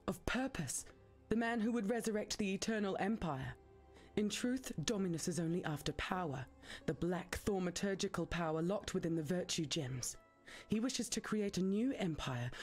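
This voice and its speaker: An actress voicing an earnest, disillusioned defector from an empire in a video game, articulate and bitter. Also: female voice, fairly low pitch, a little mellow, lightly acted. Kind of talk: video game character dialogue.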